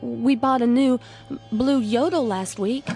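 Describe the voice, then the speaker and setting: Casting: headstrong, jittery young woman, rural American accent, higher pitch, radio play with soft music. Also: slow. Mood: sweet, nervous. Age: young adult. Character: stubborn, nervous young country sweetheart. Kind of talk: radio drama acting.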